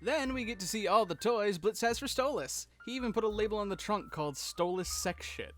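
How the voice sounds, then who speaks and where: A man co-hosting a fan breakdown, giving recap commentary in a playful, excitable and cheeky way. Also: sits high, fairly quick, faint music behind. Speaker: co-host of a fan breakdown show.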